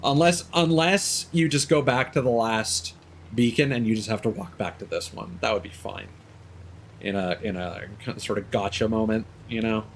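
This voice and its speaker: A man's voice: lowish voice, moderate pace, creaky, slight background noise. A man streaming a video game, reacting casually and swearing now and then, with many fillers and restarts. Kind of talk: live gameplay commentary.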